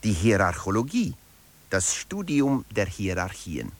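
A man's voice: fairly low pitch, very animated, unhurried. An actor playing a management theorist who narrates his principle in a formal, didactic radio lecture.

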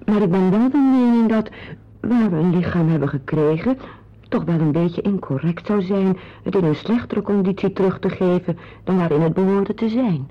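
An actress playing a composed, obliging woman in a radio play, with a husky voice. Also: medium pitch, moderate pace, lightly acted. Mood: demure, hesitant. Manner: polite, demure, matter-of-fact. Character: obliging, composed, matter-of-fact mature woman, Mrs Atkins. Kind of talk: radio drama acting. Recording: dull, muffled old radio recording.

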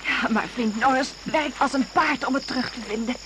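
A composed woman played by an actress in an old radio drama. She is wry and matter-of-fact in a high voice. Clear noise sits on the recording. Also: brisk, lightly acted.

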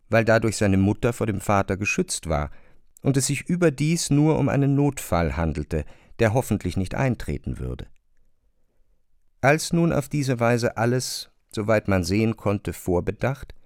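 Guy narrating a literary radio drama, expressive and measured, really varied intonation, dry studio sound. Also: mid-range voice, no room sound.

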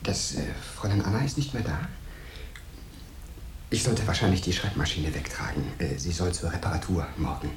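A modest male clerk speaks in a deep, low voice, hesitant and deferential. The old radio drama recording is roomy and clearly noisy. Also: expressive, a little fast. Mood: timid. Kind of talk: 1970s German radio play acting.

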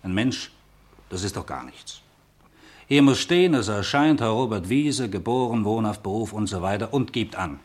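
An actor playing a pedantic police sergeant in a German radio play, explaining things in an officious, matter-of-fact way. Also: male voice, on the low side, lively intonation, normal speed. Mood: officious, insistent. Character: pedantic male police sergeant taking down a report.

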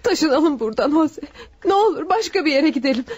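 A frightened young wife speaks in a very high voice. It is tearful and pleading. The sound is that of an old radio drama. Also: female voice, lively intonation, brisk, darkish tone. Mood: uneasy. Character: young new wife haunted by nightmares. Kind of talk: classic radio drama acting.

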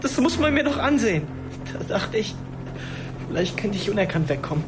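A man's voice: high voice, very animated, fairly quick, music in the background. An actor playing a sullen, insecure teenage boy in a naturalistic German radio drama, defiant yet hesitant, answering tersely. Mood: vulnerable, confessional. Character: sullen, insecure teenage boy school shooter craving fame.

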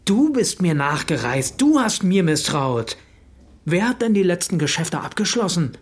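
An actor playing a bullying, greedy business partner in a German radio drama, brash and contemptuous, with bitter self-pity. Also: male voice, sits high, sing-song, unhurried, breathy edge, heavily acted. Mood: indignant anger.

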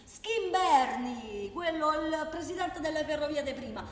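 A woman's voice: high-pitched, expressive, unhurried. An actress playing an opinionated working-class Roman woman in a radio drama, talking colloquially and indignantly, with repetitions and pointed questions. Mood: indignant, confiding. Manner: conversational, emphatic, rhetorical questioning, repeats herself. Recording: echoey reverberant hall, clearly noisy.

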